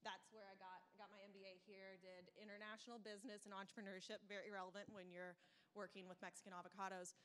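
A young woman opens a panel discussion in a brisk, upbeat way, using a handheld microphone in a lecture room full of people. Her voice sits at an ordinary pitch. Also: little pitch movement, fairly bright tone, clear, resonant tone. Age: young adult. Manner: brisk, upbeat, lots of fillers. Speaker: panel moderator. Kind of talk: moderating a panel.

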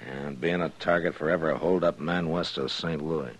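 A deep, grave frontier marshal. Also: male voice, steady tone, slightly rough. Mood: steady. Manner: grave and measured. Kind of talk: 1950s radio drama acting. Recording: dull vintage radio transcription.

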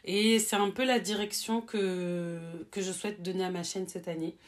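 A young woman in her late twenties sits in a small room and chats straight to her camera. She talks in a relaxed, rambling way, often pausing on fillers and restarting her sentences. Her voice sits at an ordinary middle pitch. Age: 20s. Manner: chatty, hesitant with many fillers and restarts. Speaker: YouTuber. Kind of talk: casual face-cam chat. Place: small room with bookshelf, seated.

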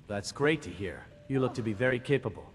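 A man's voice: mid-range voice, expressive, brisk, close to an everyday voice. A man as a corsair in a reverberant space. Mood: businesslike, approving. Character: male sea corsair recruiting a capable adventurer. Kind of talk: fantasy video game dialogue. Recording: long reverb, faint noise.

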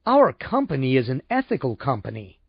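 A man's voice: mid-range voice, huge pitch swings, brisk, breathy edge, dry recording, dull audio, exaggerated voice. Man playing a pompous, swooping insurance salesman. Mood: flustered, earnest. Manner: pompous, deferential, fussy. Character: unctuous, easily shocked male insurance salesman.

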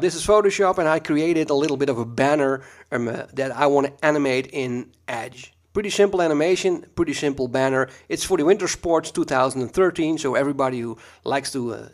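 A Dutch man with a Dutch accent, teaching a screen-recorded software tutorial in a relaxed, chatty way full of ums and uhs, recorded at a computer mic. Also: medium pitch, a little fast, few pauses.

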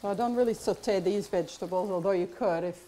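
A woman's voice: mid-range voice, fairly quick, mellow, a little hiss or hum, low-quality recording. A middle-aged woman chef giving a casual cooking demonstration in a large kitchen classroom, chatty and explanatory with lots of fillers.

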